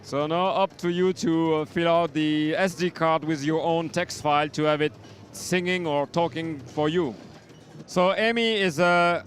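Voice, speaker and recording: High-pitched man demonstrating synth gear. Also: fairly bright tone. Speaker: synth module maker demonstrating his product. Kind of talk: informal product demonstration. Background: electronic synth sounds and beats.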